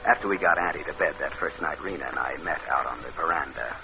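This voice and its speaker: A man's voice: rumbling low, fast. A man playing a scheming, cold-blooded, wary con man in a vintage radio noir drama. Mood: sly narrating. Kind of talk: 1940s radio noir acting and narration. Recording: noisy muffled old radio recording.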